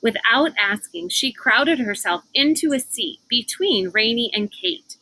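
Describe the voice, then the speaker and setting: A woman's voice: on the high side, animated, a little slow, sharp tone, rough recording. A woman reading a children's book aloud outdoors on a porch, adding casual asides as she goes. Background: cicadas and air conditioner hum.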